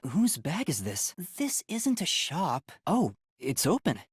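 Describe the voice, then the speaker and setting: A man voicing a timid, earnest young male trainee detective in a video game, with a light, soft, boyish voice and a hesitant manner that stammers when flustered. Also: on the high side, wildly swooping pitch, takes it slowly, breathy edge, dry recording, clean studio sound, heavily acted. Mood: curious, focused. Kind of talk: video game voice acting, dramatic lines.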